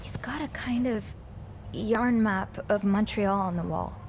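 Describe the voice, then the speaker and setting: A woman's voice: medium pitch, a little slow, a little mellow, a little hiss or hum, lightly acted. A woman playing an anxious young hotel maid in a video game, with a hesitant, earnest way of talking. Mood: earnest, hushed.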